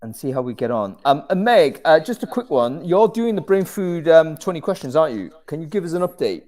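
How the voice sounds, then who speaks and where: A man's voice: a little high, big pitch swings, brisk, a little mellow. A man hosting a casual video-call chat, talking in a rambling, self-deprecating way. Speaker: host.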